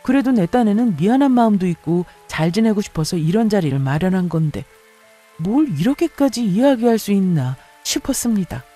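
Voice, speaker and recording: Woman reading a radio story, mellow relaxed voice, quiet music behind. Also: mid-range voice, a little fast. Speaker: story narrator. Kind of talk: scripted first-person story narration.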